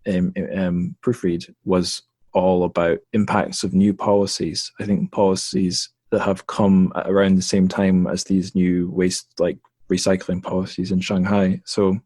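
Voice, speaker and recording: A deep-voiced man from the United Kingdom hosts a casual podcast chat, hesitant and full of fillers and restarts. The sound is dry and close, with no room echo. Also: little pitch movement, unhurried, mellow. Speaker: podcast host.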